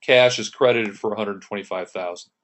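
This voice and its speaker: A man teaching an accounting lesson, working through the entries one step at a time and clearing his throat now and then. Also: lowish voice, fairly quick, fairly bright tone. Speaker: accounting instructor. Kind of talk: lecture over slides.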